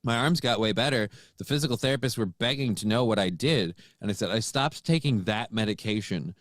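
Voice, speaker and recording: A man in his late forties talks frankly in a relaxed, steady way, often repeating a word before going on. His voice is fairly low for a man. The sound is dry and close. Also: brisk, dry recording. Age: 40s. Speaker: co-host. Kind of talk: casual chat.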